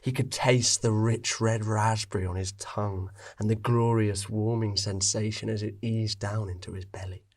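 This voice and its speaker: A man narrating a scripted story expressively, with dramatic pauses. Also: on the low side, steady tone.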